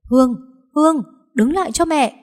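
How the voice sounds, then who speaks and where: Lady doing bossy older mom yelling and scolding, lowish warm voice. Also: lively intonation, fairly quick. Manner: scolding, shouting, wailing. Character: older mother, domineering and quick-tempered.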